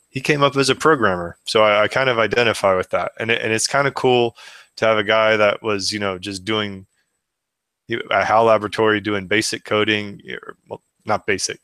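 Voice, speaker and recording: A man with a lowish, bright and crisp voice co-hosts a podcast. He speaks haltingly, repeating words while he thinks. The sound is dry and close. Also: dry recording. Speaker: podcast co-host. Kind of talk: casual podcast chat.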